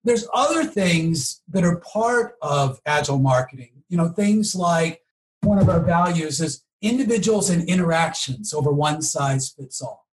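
A man explaining things conversationally as an interview guest, emphatic and often checking in with a quick "okay?" or "right?". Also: fairly high pitch, lively intonation, low-quality recording. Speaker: podcast guest, marketing professional.

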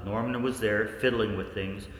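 An actor playing a male researcher narrating strange events in a 1940s radio play, in a folksy, measured storytelling way. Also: on the low side, steady tone, lightly acted. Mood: wondering. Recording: echoey hall with background noise.

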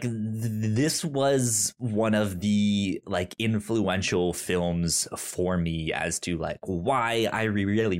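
Stammering man hosting a chat show. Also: lowish voice. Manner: halting, many repeated starts and stammers. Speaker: podcast host. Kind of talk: casual chat.

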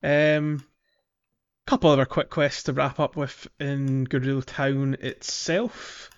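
A man's voice: medium pitch, little pitch movement, takes it slowly, smooth, highs cut off. A man hosting a casual gaming podcast, chatting playfully through a game walkthrough. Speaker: podcast host.

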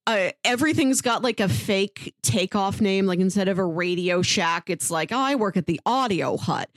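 Woman podcast cohost, chatty n informal, medium pitch, really dry close sound, casual recs. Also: animated, normal speed, no room sound. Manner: chatty, informal, frequent "like" asides. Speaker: podcast co-host.

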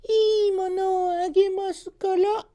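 A man voicing a kindly old woman from a Japanese folktale in a thin falsetto, polite and singsong. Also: little pitch movement, measured pace, mellow. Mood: eager, welcoming. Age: older. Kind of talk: storybook character voice.

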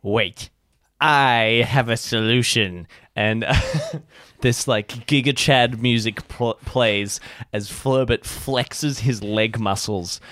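A man's voice: medium pitch, slow, fairly bright tone, dry recording, clean studio sound. A man playing an improvised tabletop roleplay in a casual, jokey and giggly way, describing what his character does. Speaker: player in an improvised tabletop audio drama. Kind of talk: casual improvised roleplay banter with narration of actions.

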